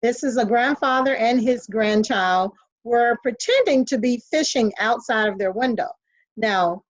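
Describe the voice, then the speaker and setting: A woman's voice: fairly high pitch, poor audio. A woman teacher reading a picture book aloud to children in an instructional way.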